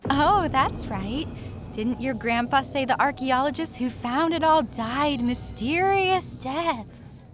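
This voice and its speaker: A woman's voice: high-pitched, slow pace. A woman playing a caring, curious teenage schoolgirl in an audio manga, with music behind. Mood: curious cheerful. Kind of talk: audio manga dramatic acting.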